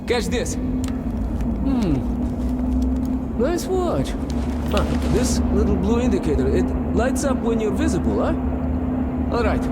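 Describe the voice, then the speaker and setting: An actor playing a brash, chummy Russian comrade-in-arms in a video game, with a Russian accent and rough colloquial manner. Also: male voice, sits high, very noisy. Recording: loud game soundtrack behind voice.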